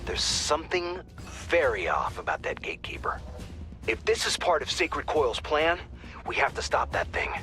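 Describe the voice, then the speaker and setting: An actor playing an alert, businesslike and slightly wry mission handler in a video game, giving scripted tactical updates. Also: male voice, a little high, big pitch swings, normal speed, pushed and bright, soft background music. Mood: uneasy.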